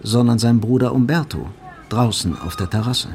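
Male literary narrator with creaky fry. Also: medium pitch, moderate pace, a little mellow, a little hiss or hum. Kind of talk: scripted literary narration in a radio drama.

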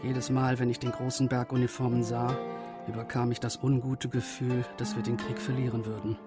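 A man plays a sober witness narrating wartime memories for radio drama. His delivery is very even in melody, at a middle pitch, with prominent guitar behind it. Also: fairly quick, lightly acted. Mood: sombre, restrained. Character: sober witness recalling wartime factory work. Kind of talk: scripted first-person radio drama narration.

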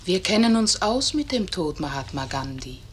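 A woman voicing the Earth as a stern, grim spirit of death in a radio play, solemn and deliberate. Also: on the low side, big pitch swings, slight background noise. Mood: grim, knowing.

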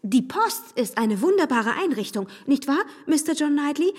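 An actress in a German radio play voicing a reserved, proud young gentlewoman, speaking with courteous, restrained firmness. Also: sits high, very animated, moderate pace, big-room sound. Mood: polite firmness.

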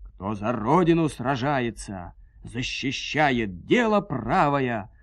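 Heroic warrior for audio fairy tale male storyteller acting: defiant, declamatory, resolute, medium-pitched. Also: big pitch swings, slow, dry recording. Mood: defiant, solemn.

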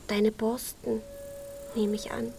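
A woman playing a little chick in a children's radio play, a caring friend who talks gently but bluntly. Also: fairly high pitch, level tone, slow, gravelly, faint music behind. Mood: gently regretful.

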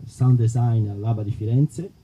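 A man moderating a live book presentation, introducing the author with hesitant pauses. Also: mid-range voice, dark tone, a little hiss or hum, low-quality recording. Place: outdoor festival event, live PA.

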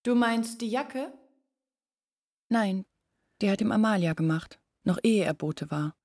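A woman's voice: mid-range voice, animated, a little slow, a little mellow, no room sound, clean studio sound. An actress playing an anxious, confiding young village woman in a literary radio drama, explaining her doubts earnestly and intimately. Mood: earnest, troubled.

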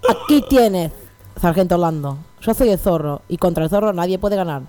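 A gallant masked hero played by a woman with a lowish voice. He declaims pompously and boastfully, in radio comedy style. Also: expressive, normal speed, mellow, a little hiss or hum, close to an everyday voice. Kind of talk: comic radio melodrama.

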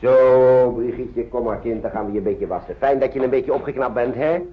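An actor playing a worried, kindly uncle who hesitates and repeats himself in an old radio play. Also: male voice, mid-range voice, fairly quick, close to an everyday voice. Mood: cheerful, fatherly. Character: worried kindly man, an uncle minding children. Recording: dull noisy old radio recording.

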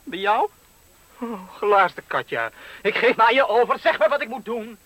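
A woman's voice: on the high side, lively intonation, moderate pace, a bit bright, dry recording, poor audio. A woman playing a grumbling, reluctant sidekick in a 1970s Dutch radio play, protesting and then resigned. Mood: grudging.

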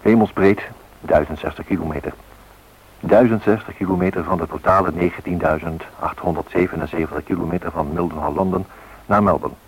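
An actor playing a solemn, poetic storyteller narrating a radio drama with dramatic emphasis and a husky voice. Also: male voice, low voice, steady tone, fairly quick. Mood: solemn, wistful. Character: solemn poetic male storyteller of flight myths. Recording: muffled old radio recording.

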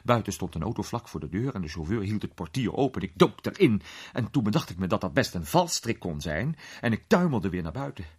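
A man's voice: fairly low pitch, sing-song, a little fast, breathy edge. A man voicing an agitated, indignant storyteller recounting a frightening adventure in a dramatized story reading. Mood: excited recounting. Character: Varden, agitated man recounting his frightening escape as anecdote.

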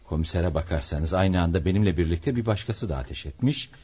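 An actor playing a weary, warm middle-aged father in a radio play. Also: deep voice, lively intonation, brisk, husky. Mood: calm, relieved. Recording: dull, muffled old radio recording.

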